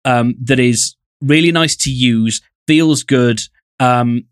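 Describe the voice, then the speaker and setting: A man's voice: mid-range voice, slow, smooth, dry recording, clean studio sound. A man with an English accent co-hosting a podcast, talking casually in a wordy, jokey way. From England. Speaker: podcast co-host.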